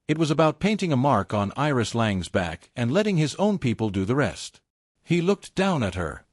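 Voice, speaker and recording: A male audiobook narrator delivers suspenseful fiction in a dry, close sound. His pitch moves widely as he shapes each sentence of the drama. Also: no room sound. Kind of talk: dramatic scripted narration of a romance thriller.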